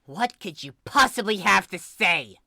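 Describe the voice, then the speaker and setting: A woman's voice: mid-range voice, expressive, a little slow, creaky, pushed and bright, no echo, clean studio sound, voice pushed up, heavily acted. A woman voicing a furious, protective older sister, scolding with cutting sarcasm and emphatic stress. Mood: angry, sarcastic.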